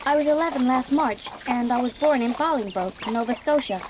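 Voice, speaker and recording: Woman doing an earnest little orphan girl, polite n talkative, highish voice, audiobook drama w/ clear background noise. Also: a little fast, lightly acted. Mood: earnest, matter-of-fact.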